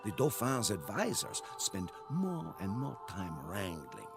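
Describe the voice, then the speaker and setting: An actor narrating as a weary, devoted medieval French soldier, solemn and storyteller-like, in a scripted game cutscene. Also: male voice, lowish voice, wildly swooping pitch, slow pace, vocal fry, exaggerated voice. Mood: solemn, reflective. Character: weary, devoted French soldier chronicling Joan of Arc's war. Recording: music behind the voice.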